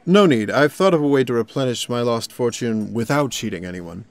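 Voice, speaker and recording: A scheming, smug prince acted by a man in a comic dub. The voice is medium in pitch, with a suave, self-satisfied way of talking. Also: expressive, brisk, natural voice. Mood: smug, persuasive. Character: smug, scheming charming prince, vain smooth talker.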